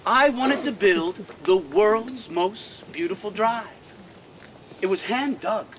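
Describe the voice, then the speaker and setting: A man's voice: high voice, expressive, slow pace, background noise. A man playing a proud old park designer. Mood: proud, earnest. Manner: earnest, emphatic, addresses the crowd directly. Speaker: costumed tour guide, historical reenactor. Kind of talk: first-person living-history storytelling. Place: outdoors by a prairie on a walking tour.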